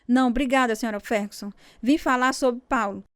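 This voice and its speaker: Actress as a high-pitched formal woman schoolteacher. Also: steady tone, a little fast, no room sound, clean studio sound, lightly acted. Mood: indignant. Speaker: actor in a radio drama. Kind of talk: dramatised radio acting.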